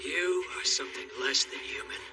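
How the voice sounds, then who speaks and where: A man's voice: fairly high pitch, normal speed, distant mic, music in the background, lightly acted. A man voicing a cool, scornful, combative god in an anime dub. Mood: cold, stern.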